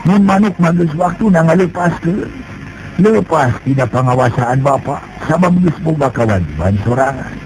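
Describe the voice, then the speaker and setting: A male storyteller voicing an elderly sage who is calm and fatherly, giving solemn, reassuring advice. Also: a little high, normal speed, slight background noise, voice pushed up, close to an everyday voice, low-quality recording. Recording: music playing behind.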